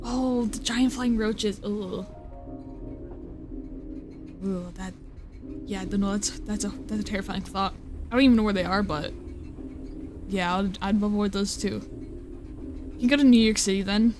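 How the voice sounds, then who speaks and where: A woman's voice: medium pitch, fairly even intonation, unhurried, pauses often, over music. A young woman streaming live, chatting casually and rambling, often cutting herself off and swearing. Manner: rambling, swearing, with self-interruptions and laughs.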